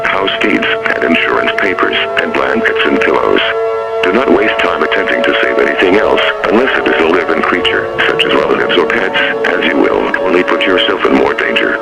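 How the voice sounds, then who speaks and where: A man playing a stern official announcer, reading a scripted emergency broadcast in a formal, solemn, deliberate manner. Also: extremely low pitch, gravelly, heavily acted, rough recording.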